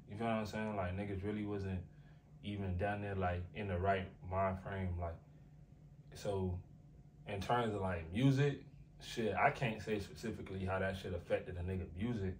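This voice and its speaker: A young American man with a low, easy voice talks in a relaxed, slangy way, full of "like" and "you feel me." He sounds set back from the mic, and a faint hiss of room noise sits behind him. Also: recorded from a distance. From United States. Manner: laid-back, slangy, many "like" and "you feel what I'm saying". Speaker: rapper being interviewed. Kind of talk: casual interview talk.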